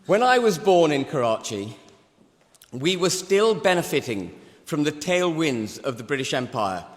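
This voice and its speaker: A man in his sixties, from Pakistan, giving a forceful, rhetorical debate speech with emphatic repetition. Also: fairly high pitch, big pitch swings, measured pace. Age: 60s. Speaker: debate speaker. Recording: long hall reverb.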